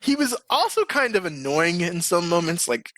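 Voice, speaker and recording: A man with a slightly high voice hosts a casual podcast chat, recorded dry and close. He speaks in a slangy, opinionated way. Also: sing-song, moderate pace, fairly bright tone, no room sound. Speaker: podcast host.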